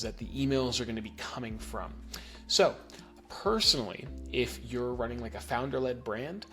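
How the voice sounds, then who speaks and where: A man raised in New Zealand with an American accent, casually explaining a software setup step by step and checking in with "okay?" and "right?". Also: mid-range voice. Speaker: email marketing instructor. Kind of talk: screen-recorded software tutorial.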